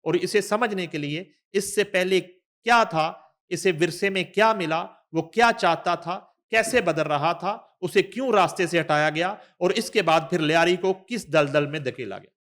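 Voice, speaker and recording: A man with a Pakistani accent and a slightly high-pitched voice presents news commentary. He reads written text with deliberate emphasis, then drops into conversational asides as a show host would. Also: a bit bright. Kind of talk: news commentary, reading an article aloud with asides.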